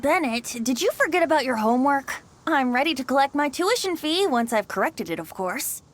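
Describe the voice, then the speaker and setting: High, lilting playful bard. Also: female voice, very animated, fairly quick, slight background noise. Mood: playful, teasing. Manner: lyrical, flowery, theatrical. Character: theatrical bard, flowery, playful youthful male. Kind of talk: video game voice acting.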